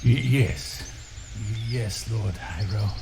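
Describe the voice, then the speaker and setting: A man playing a hesitant, thoughtful scholar of magic in an audio drama, speaking haltingly and carefully. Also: fairly low pitch, long reverb tail, background noise. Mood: nervous deference.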